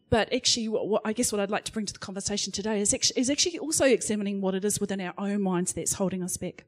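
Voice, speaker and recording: A middle-aged woman speaks on a live panel in front of an audience. She is candid and self-mocking. She talks in loose spoken sentences full of "um" and restarts. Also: mid-range voice. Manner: frank, self-deprecating, many fillers and restarts.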